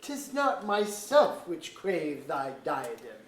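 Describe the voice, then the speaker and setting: A woman's voice: low voice, sing-song, slow, slight background noise, full character voice. A woman acting an ambitious, imperious noble lord in a staged play reading, with commanding, formal archaic speech. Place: museum auditorium. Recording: echoey auditorium.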